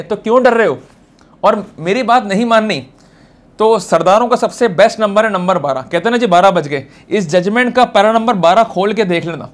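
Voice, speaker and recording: A man with an Indian accent giving an informal law lecture in an emphatic, colloquial way, often throwing out rhetorical questions. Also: high-pitched.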